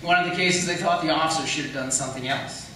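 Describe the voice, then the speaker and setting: Strong room echo and faint noise surround an American man in a campaign debate. He explains firmly in a bright, slightly raised voice, repeating key points for emphasis. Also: fairly high pitch, fast. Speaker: county attorney seeking re-election. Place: echoey hall at a public debate. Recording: very echoey room, distant mic, faint noise.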